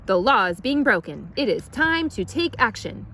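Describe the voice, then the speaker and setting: A woman plays a stern male police officer from a children's storybook. He speaks in an official, deliberate way with big emphatic swings in pitch. There is faint noise in the recording. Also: big pitch swings, a bit bright. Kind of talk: children's storybook read aloud.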